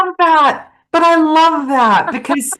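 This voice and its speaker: Chatty, animated woman host. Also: high-pitched, takes it slowly, rough recording. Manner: animated, rambling, laughs often. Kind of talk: casual video-call chat.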